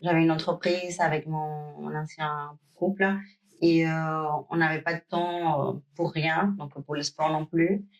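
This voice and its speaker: Woman, podcast guest, slightly low pitch, hesitant. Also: level tone, measured pace. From Cuba. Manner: hesitant, many fillers and restarts. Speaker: guest, pro bikini athlete. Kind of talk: podcast interview.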